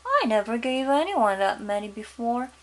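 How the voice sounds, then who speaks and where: A woman's voice: on the high side, big pitch swings, normal speed, voice pushed up. A woman voicing a friendly male clown in a children's storybook, with a questioning, exclaiming manner. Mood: surprised.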